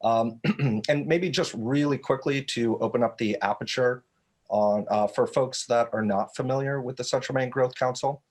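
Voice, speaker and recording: A man speaking as a guest panelist, giving formal remarks in a measured, corporate way. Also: medium pitch. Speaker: guest speaker, economic development director.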